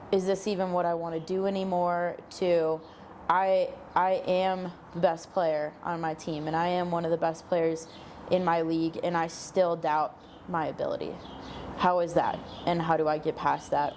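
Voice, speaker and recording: A woman's voice: fairly low pitch, darkish tone, a little hiss or hum. A young woman from Minnesota, an interview guest explaining her coaching work articulately, often with quoted examples. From Minnesota, United States. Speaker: guest, sports psychology coach.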